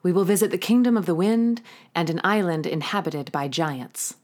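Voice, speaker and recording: A woman's voice: on the low side, normal speed, darkish tone. A woman narrating scripted closing credits for an audio drama as its presenter.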